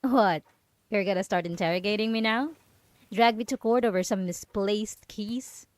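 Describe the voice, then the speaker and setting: A woman's voice: medium pitch, normal speed, dry recording, lightly acted. A woman playing a possessive, mocking yandere roommate in an ASMR roleplay, teasing and condescending as she lingers on her words. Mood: feigned innocence. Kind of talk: dramatic ASMR roleplay monologue.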